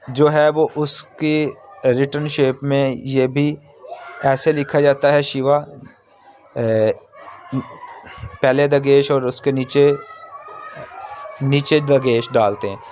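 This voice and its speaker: Slow-paced man teaching, noisy recording. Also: medium pitch, a little mellow. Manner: halting, repetitive explaining. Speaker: language teacher. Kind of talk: informal step-by-step lesson on vowel signs. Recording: clearly noisy background.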